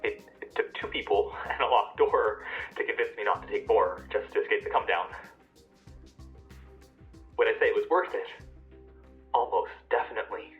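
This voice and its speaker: A man playing an anonymous source recalling a dangerous high in halting, intense words, as a dull lo-fi recorded message. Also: faint music behind, natural voice. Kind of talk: audio drama acting.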